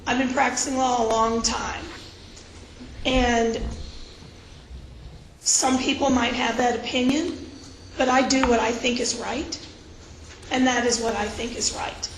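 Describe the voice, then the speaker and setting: Hoarse woman in her fifties, measured and firm on the stand; echoey, noisy room. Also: on the high side, fairly even intonation. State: hoarse from a cold. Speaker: character witness, attorney. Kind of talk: sworn testimony at a hearing. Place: hearing room. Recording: echoey room with background noise.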